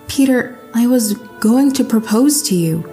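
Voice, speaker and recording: Woman playing earnest young girlfriend, talks slow, highish voice, audio drama. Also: lively intonation, a little mellow, soft background music. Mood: emotional, earnest. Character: sincere, emotional young girlfriend.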